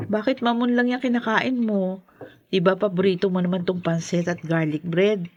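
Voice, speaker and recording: An actress with a Filipino accent playing a dutiful, caring eldest sister, gentle and meek, in a podcast drama. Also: female voice, medium pitch, steady tone, normal speed, lo-fi sound, lightly acted. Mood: gentle, concerned.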